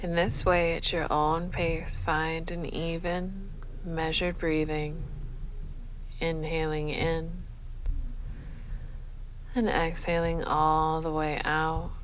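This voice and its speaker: A woman leading a guided sleep meditation as a yoga nidra guide, speaking in a measured, soothing, unhurried manner. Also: sits low, poor audio. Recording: faint steady background noise.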